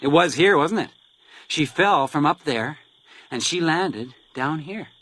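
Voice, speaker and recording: A man's voice: fairly high pitch, lively intonation, measured pace, a bit bright. An actor playing a sadistic, theatrically playful male villain in a radio crime drama. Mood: cruel goading. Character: sadistic, taunting male villain who plays deadly games.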